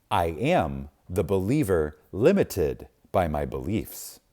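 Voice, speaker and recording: Male reader for spiritual audiobook: low-pitched, very unhurried, reverent and solemn, weighty pauses and deliberate emphasis. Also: warm tone. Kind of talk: reverent, dramatic reading of spiritual text.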